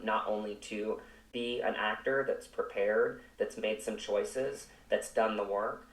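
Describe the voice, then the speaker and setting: A man's voice: on the low side, a bit bright. Earnest man in an echoey room. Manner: earnest, reflective. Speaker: actor, interview guest. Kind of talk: conversational interview. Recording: echoey room, distant from mic.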